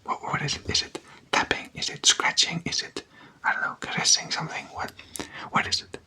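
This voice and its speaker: A person doing casual, playful ASMR in a breathy whisper, chatting and putting questions to the listener. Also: fairly quick. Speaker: ASMR content creator.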